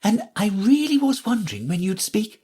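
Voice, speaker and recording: A man voicing a severe, sharp-tongued rose in a storybook reading, in a high, cutting, prim tone. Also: sing-song, moderate pace, breathy edge, exaggerated voice.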